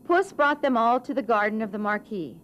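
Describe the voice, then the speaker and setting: A woman narrating a children's storybook tale for a puppet show with measured, dramatic phrasing. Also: on the high side, lively intonation, fairly bright tone, slight background noise.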